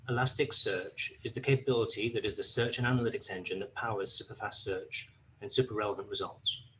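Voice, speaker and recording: Steady man explaining a tech product. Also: medium pitch, a little hiss or hum, rough recording. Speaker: webinar presenter. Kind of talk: scripted product overview.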